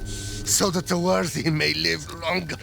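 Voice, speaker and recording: An actor playing an ominous, fanatical Viking priest of a wolf god in a video game, speaking with zealous menace. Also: male voice, a little high, flat delivery, unhurried, background noise. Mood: reverent, menacing. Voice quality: creaky. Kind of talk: dramatic game cutscene acting. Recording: music under the voice. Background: music.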